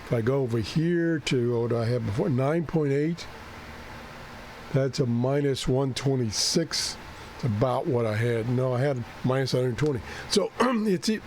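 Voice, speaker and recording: A man who is losing his voice and keeps clearing his throat talks through a radio setup, thinking aloud and correcting himself as he goes. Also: mid-range voice, a little mellow, a little hiss or hum. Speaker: ham radio video host. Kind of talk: unscripted screen-share walkthrough.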